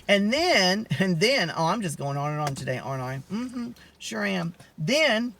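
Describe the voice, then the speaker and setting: A young man with a high voice, telling personal stories in a rambling, candid way, often losing his thread. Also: very animated, a little slow, gravelly, bright, forceful tone, a little hiss or hum. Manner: rambling, candid, lots of restarts and asides. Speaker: vlogger. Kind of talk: casual storytelling to camera.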